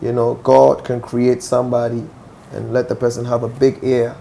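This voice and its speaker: Gravelly Ghanaian man, assertive musician. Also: medium pitch, flat delivery, normal speed, mellow, slight background noise. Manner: assertive, with frequent "do you understand?" asides. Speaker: musician guest. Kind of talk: interview answers.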